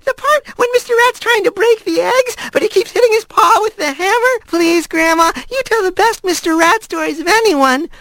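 A man voicing excited young opossum children in a storybook reading, eager and squealing. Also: extremely high pitch, moderate pace, hardly any pauses, no room sound, voice pushed up, exaggerated voice, low-quality recording.

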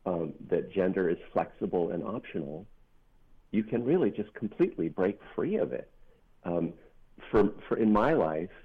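Deep, gravelly bass voice over a phone line. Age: middle-aged. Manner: thoughtful, earnest, with frequent ums and restarts. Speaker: author guest. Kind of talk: reflective interview talk. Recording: narrow phone-line sound.

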